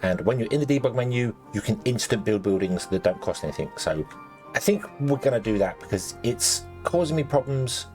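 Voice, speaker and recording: A man commentating his gameplay casually, rambling with lots of ums and restarts, and a little croaky as he loses his voice. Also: medium pitch, animated, a little fast, a little mellow, faint music behind. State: slightly croaky, losing his voice. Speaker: let's play host.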